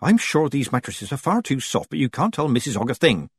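A man's voice: medium pitch, very animated, fairly quick, slightly rough, dry recording, pitched above the performer's own voice, heavily acted. A man voicing a fussy, skinny village woman who scolds and sniffs with disapproval. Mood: disapproving.

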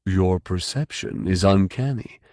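A slow, solemn and pedantic man, as the narrator puts him on, who speaks with deliberate, dryly formal weight. His voice sits low and unhurried in a close, dry recording. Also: mellow, no echo. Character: solemn, pedantic large male trader-scientist.

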